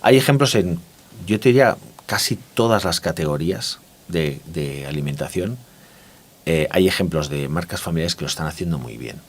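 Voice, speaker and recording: A man's voice: low-pitched, lively intonation, normal speed, slightly rough. A man from Barcelona with a Spanish accent from Spain, explaining his views reflectively as an interview guest and often checking for agreement. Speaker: interview guest, consumer market expert. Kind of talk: conversational interview, explanatory talk.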